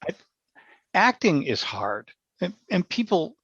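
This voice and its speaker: A man talking casually as an interview guest, explaining through anecdotes, often with halting starts. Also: sits high, big pitch swings, fairly bright tone, breathy edge, no echo, highs cut off. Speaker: podcast guest, stage actor and director.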